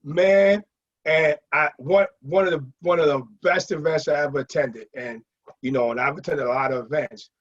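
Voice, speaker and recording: A middle-aged man casually telling stories in an animated way, acting out what people said. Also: on the high side, moderate pace. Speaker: guest sharing nightlife memories. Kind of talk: casual anecdotal storytelling. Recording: muffled lo-fi audio.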